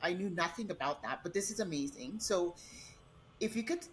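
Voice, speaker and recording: A woman's voice: on the low side, animated, moderate pace, a little hiss or hum, low-quality recording. A woman host from Nigeria whose first language is Igbo, asking questions eagerly and sometimes cutting in with enthusiasm. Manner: eager and enthusiastic, breaks in on the guest. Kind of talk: interview questions.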